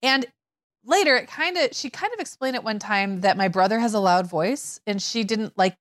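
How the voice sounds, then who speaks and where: A woman's voice: a little high, lively intonation, normal speed, no room sound, clean studio sound. A middle-aged woman co-hosting a parenting podcast, telling stories in a chatty way with many fillers and restarts. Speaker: podcast co-host. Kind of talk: casual conversational chat.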